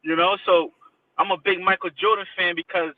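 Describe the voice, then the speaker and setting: A man's voice: high-pitched, level tone, fairly quick. A man from Haiti who moved to America as a child, chatting informally as an interview guest, using slang and repeating phrases for emphasis. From Haiti, then Connecticut from age six. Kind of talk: casual chat, personal recollection. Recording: tinny phone-like line, distant from mic.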